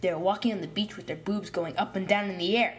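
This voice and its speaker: A man voicing a crude, excited schoolboy in a narrated story, gushing to his pals. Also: high voice, fast talker, slight background noise, pitched above the performer's own voice, lightly acted. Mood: excited bragging.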